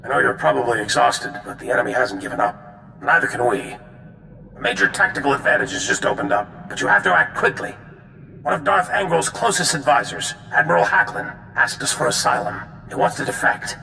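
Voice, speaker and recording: An actor playing a weary, gruff military general in a video game, with a gravelly voice and echo around it. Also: male voice, a little fast, bright, forceful tone. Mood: grave, urgent. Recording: echoey hall, noisy rumble.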